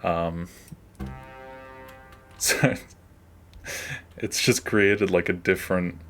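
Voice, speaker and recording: Low-voiced man, offhand and casual. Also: fairly even intonation, drawn-out words, a bit bright, soft background music. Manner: offhand, self-deprecating, trailing off. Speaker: animator, video creator. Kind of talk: casual behind-the-scenes screen walkthrough.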